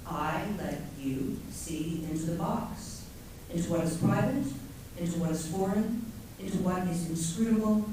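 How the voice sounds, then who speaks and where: A middle-aged woman poet giving a measured, deliberate reading of her own poem to an audience. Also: fairly low pitch, dark tone, slight background noise. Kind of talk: poetry reading. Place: lecture hall. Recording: echoey hall from far off.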